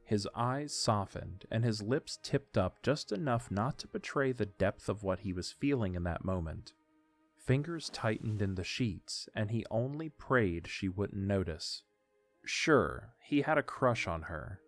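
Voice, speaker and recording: A man's voice: lowish voice, animated, measured pace. A man reading scripted story narration as the narrator of an audio drama. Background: faint music.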